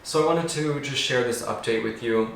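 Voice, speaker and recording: A man's voice: mid-range voice, normal speed, vocal fry, fairly bright tone, a little hiss or hum. Reflective man in an echoey room. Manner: rambling, reflective, many fillers. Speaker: vlogger. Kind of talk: casual personal update to camera. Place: apartment. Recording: very echoey room, faint ambience.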